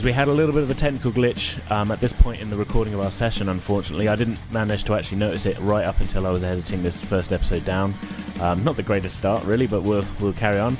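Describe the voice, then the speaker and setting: A man's voice: on the low side, rapid-fire, hardly any pauses, music in the background. Man narrating a tabletop game. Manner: informal, hesitant, chuckling asides. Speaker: game master.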